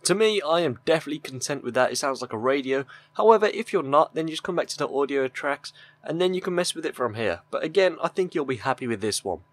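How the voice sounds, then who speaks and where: A young man giving a casual, upbeat YouTuber voice-over for a software tutorial. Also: on the high side, lively intonation, fast talker, dry recording. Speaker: tutorial video host. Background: background music.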